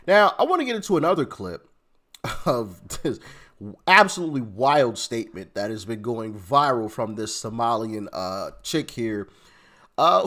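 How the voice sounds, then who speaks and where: A man's voice: medium pitch, fairly bright tone. A man hosting a casual commentary show, talking informally with slangy asides to his audience. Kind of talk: casual opinionated commentary on viral clips.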